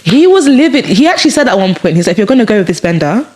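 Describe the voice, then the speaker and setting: A young woman talks quickly and with plenty of energy, her voice naturally deep. She tells her story as a chatty, expressive guest, joking as she goes, at a middling pitch for a woman. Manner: fast, lively, expressive, jokey. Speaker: podcast guest, recent bride. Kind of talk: casual chat, animated storytelling.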